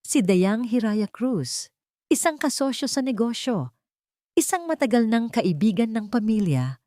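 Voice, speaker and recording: A woman's voice: fairly high pitch, very animated, unhurried, dark tone, no echo, studio quality. A woman with a Filipino accent narrating a scripted dramatic story.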